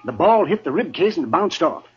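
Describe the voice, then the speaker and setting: Old-radio frontier doc, high raspy voice, swooping intonation, fussy, chatty. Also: male voice, very animated, normal speed, a little mellow, full character voice. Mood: fussy, matter-of-fact. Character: fussy, chatty frontier doctor, cheerfully morbid. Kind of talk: 1950s radio western acting. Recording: muffled 1950s radio recording.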